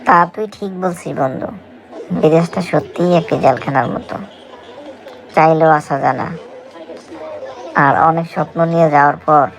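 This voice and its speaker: A man plays a friendly small trader in a scripted cartoon. He is plain-spoken and sympathetic, with a creaky edge to the voice. A light murmur of people is heard behind. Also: a little high, low-quality recording.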